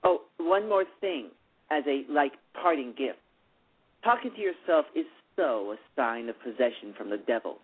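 A man's voice: medium pitch, animated, normal speed, husky. A man voicing a snide, self-righteous religious fanatic girl who mocks and preaches with a valley-girl "like". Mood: sneering. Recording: tinny phone-line radio.

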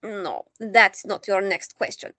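A woman playing an older Greek-born artist and grandmother, calm and dryly teasing in an audio drama. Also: medium pitch, takes it slowly, no echo. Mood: wry. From Greece.

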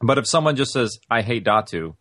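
A man's voice: mid-range voice, animated, fairly quick, fairly bright tone, no room sound, clean studio sound. A man being interviewed as a writer, explaining his craft conversationally and thinking aloud, often acting out imagined remarks. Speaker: guest, audio-drama writer and producer.

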